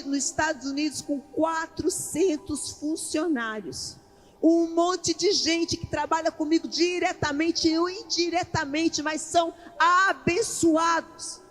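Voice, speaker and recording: A woman's voice: very high-pitched, pushed and bright. A Brazilian woman pastor preaching an impassioned, emphatic sermon in colloquial everyday speech, leaning on repetition and rhetorical questions. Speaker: pastor preaching at a women's church conference. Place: large indoor church event hall. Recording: echoey large hall PA, faint music.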